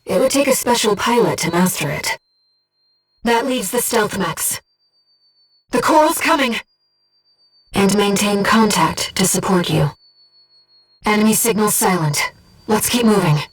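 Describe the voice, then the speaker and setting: A woman voicing a gentle, earnest, disembodied female companion in a video game, guiding a pilot with composed, precise callouts and briefings. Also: mid-range voice, expressive, a little slow, pauses often, husky, far from the mic, faint music behind. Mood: alert, urgent. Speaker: mission support companion.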